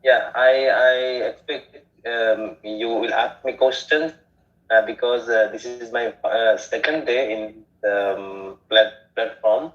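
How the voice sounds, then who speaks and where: A man's voice: medium pitch, steady tone, takes it slowly, dull audio. A man from Bangladesh, a language learner, speaking hesitantly in a practice conversation, with many fillers and restarts.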